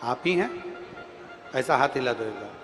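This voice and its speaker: A man's voice: mid-range voice, lively intonation, moderate pace, a bit bright. A man giving a fervent devotional sermon who repeats his phrases and reads a letter aloud to a large live gathering. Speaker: preacher. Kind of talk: devotional sermon with sung hymn. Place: large outdoor religious gathering. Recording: long reverb from a big venue, with music behind. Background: devotional music.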